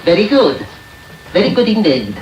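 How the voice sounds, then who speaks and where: An actor playing a sly old gang master in a radio drama, unctuous, drawling and wheedling. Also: male voice, sits high, huge pitch swings, normal speed, a little mellow, dull audio. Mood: oily, coaxing. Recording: echoey, distant, hissy radio tape.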